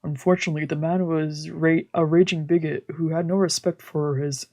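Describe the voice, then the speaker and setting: A woman's voice: sits low, steady tone, moderate pace, warm tone. A woman streamer reading visual novel narration aloud, now and then stumbling over her words. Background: game music.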